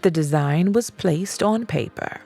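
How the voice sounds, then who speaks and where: A woman reading a self-help audiobook in a measured, emphatic storytelling manner. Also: lowish voice, creaky, warm tone, faint music behind. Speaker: audiobook reader. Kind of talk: scripted narration of a self-help book.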